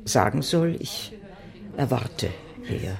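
An actress playing a lost, retired woman in an improvised radio drama, speaking in halting, deadpan, laconic replies. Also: rumbling low, gravelly, a crowd in the background, heavily acted. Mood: flat, forlorn. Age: older.

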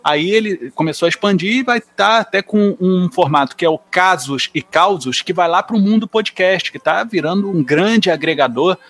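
A man's voice: high voice, lively intonation, normal speed, few pauses, fairly bright tone. A Brazilian man talking as a podcast panelist in a slangy, opinionated, joking way. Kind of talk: casual podcast chat.

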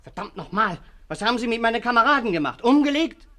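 A man's voice: extremely high pitch, expressive, fast talker, a crowd in the background. Swooping, defiant young policeman. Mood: indignant. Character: indignant, defiant young policeman held captive. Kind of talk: German radio play acting, 1950s.

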